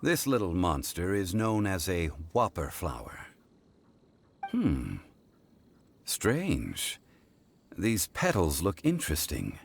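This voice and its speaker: Learned game gentleman: low voice, expressive intonation, formal, composed, measured explanations. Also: gravelly, a little hiss or hum, full character voice. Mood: pensive. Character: refined, knowledgeable, composed gentleman scholar of old traditions. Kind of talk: video game voice acting. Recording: music behind the voice.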